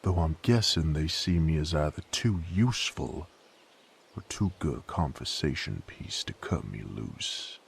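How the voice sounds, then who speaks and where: Man acting a very deep, gravelly, brooding knight. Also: animated, slight background noise, exaggerated voice. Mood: wry, guarded. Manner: rough rustic folksy speech, brooding, halting. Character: sadist knight, gruff former carnival drifter, weary and brooding. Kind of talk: dramatic roleplay audio monologue.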